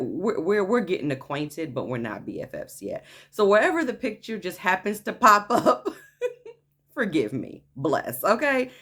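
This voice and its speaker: A woman with a naturally deep voice telling a story to her viewers in a chatty vlog, often tossing in "okay?" as she hypes things up. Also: fairly bright tone. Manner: chatty, frequent "okay?" asides, hyped enthusiasm. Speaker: vlogger. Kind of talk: casual story time vlog.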